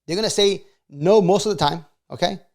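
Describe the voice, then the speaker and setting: Higher-pitched man with a creaky voice. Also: brisk. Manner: conversational, frequently seeks agreement with short check questions. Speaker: video host giving sales advice. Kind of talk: casual motivational advice talk.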